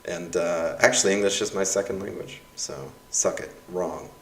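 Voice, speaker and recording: Deep-voiced man reading evaluations, roomy distant sound. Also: fairly even intonation, moderate pace. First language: Russian. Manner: wry, self-mocking, chatty with fillers. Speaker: university instructor. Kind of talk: casual reading of course evaluations.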